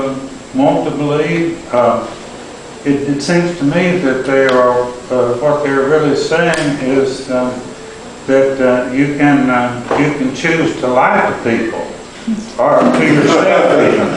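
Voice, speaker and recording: A man speaking at a public talk in a halting way, with many pauses and fillers. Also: medium pitch, slight background noise. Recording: echoey hall taped from far off.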